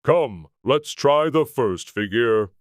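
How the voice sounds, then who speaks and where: A man's voice: medium pitch, animated, no echo, clean studio sound. A man voicing a mournful, sentimental mock turtle with a plaintive manner in a dramatized storybook reading. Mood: wistful. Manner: slow, plaintive. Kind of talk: dramatized audiobook character.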